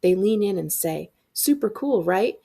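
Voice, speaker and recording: A woman librarian reading a middle-grade novel aloud in an expressive, acted-out storytelling style. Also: mid-range voice, unhurried, creaky, slightly rough.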